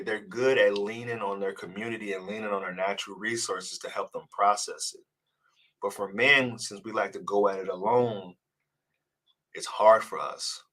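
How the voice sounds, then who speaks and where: A man's voice: lowish voice, a bit bright. A man with a congested voice from a cold, speaking casually and earnestly as a dating coach on a live stream and often repeating words as he restarts. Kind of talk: live-stream advice chat reading comments.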